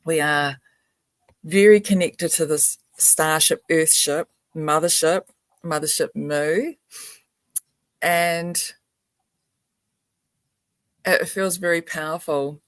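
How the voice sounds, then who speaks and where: An older woman from New Zealand giving a soft, meandering spiritual talk on a video call, laughing often. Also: low-pitched, steady tone, slow, long pauses, dry recording. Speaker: spiritual grandmother representative.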